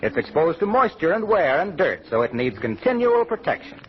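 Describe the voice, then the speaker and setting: A man's voice: on the high side, sing-song, brisk, soft background music. An American man reading a 1940s radio advertisement as the announcer, in a polished, folksy selling manner. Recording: muffled old radio broadcast.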